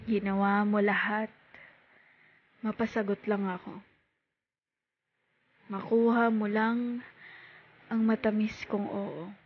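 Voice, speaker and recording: A woman with a Filipino accent performing heartfelt spoken-word poetry in short, deliberate phrases with pauses. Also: mid-range voice, little pitch movement, a little hiss or hum. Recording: tinny phone-like sound.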